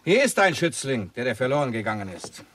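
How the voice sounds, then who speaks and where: Mocking, jovial studio boss, medium pitch, very animated delivery, vintage radio crime play. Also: male voice, a little fast. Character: brash, sardonic male Hollywood film boss. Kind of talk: 1960s German radio crime drama acting. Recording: 1960s radio recording, faint background noise.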